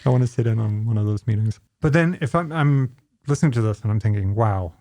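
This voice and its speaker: A man's voice: fairly low pitch, animated, fast talker, mellow, no echo. A man around his thirties hosting a podcast, chatting casually as he interviews a guest. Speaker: podcast host. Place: small indoor podcast studio. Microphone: close studio mic on boom arm.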